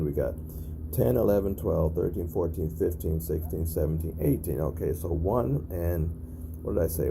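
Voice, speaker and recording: A man's voice: low-pitched, creaky, noisy background. A man giving a casual on-site demonstration, matter-of-fact and repetitive, often checking in with short tag questions. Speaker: narrator documenting an intercom test. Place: at a building's entry intercom panel.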